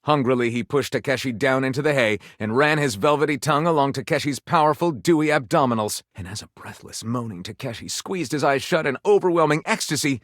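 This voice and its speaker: A man's voice: medium pitch, lively intonation, fairly quick, hardly any pauses, fairly bright tone, no room sound, studio quality, close to an everyday voice. An actor voicing a flamboyant male storyteller of lurid romance in a video game, with theatrical, breathless, overwrought dramatic narration. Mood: melodramatic, lustful.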